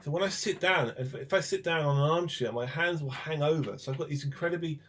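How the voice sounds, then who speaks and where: A man, a guest songwriter, talking casually with stumbling starts and quick bursts. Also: fairly high pitch, a bit bright, slight background noise. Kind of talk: casual interview chat.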